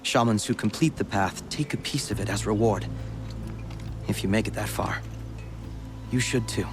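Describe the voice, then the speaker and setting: Guy doing a grave earnest tribesman, low-ish voice, game music behind. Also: normal speed, natural voice. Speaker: game character.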